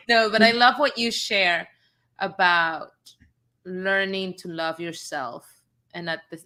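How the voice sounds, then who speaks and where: A woman hosts a live interview chat. Her voice is low-pitched but very bright and forceful. She affirms her guest warmly and laughs easily. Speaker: host.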